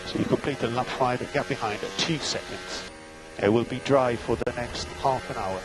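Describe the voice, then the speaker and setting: A man's voice: medium pitch, normal speed, over loud music, low-quality recording. A man working as a race engineer, giving clipped, matter-of-fact instructions and gap updates over team radio, often repeating key points.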